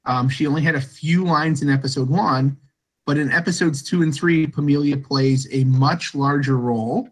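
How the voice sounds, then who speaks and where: Man hosting a podcast, slightly husky. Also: on the high side, normal speed, low-quality recording. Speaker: podcast host. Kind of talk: podcast interview with school play actors.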